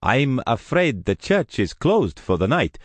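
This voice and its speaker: A man's voice: mid-range voice, sing-song, measured pace, no room sound, pitched above the performer's own voice. Man voicing a nervous old priest. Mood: polite. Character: nervous elderly Italian male priest.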